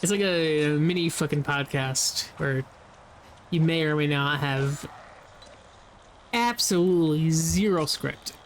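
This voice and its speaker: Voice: medium pitch, measured pace, pauses often, slight background noise. Rambling, laughing gamer. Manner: rambling, laughs often, swears casually. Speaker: gameplay video creator. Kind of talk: casual rambling gameplay commentary.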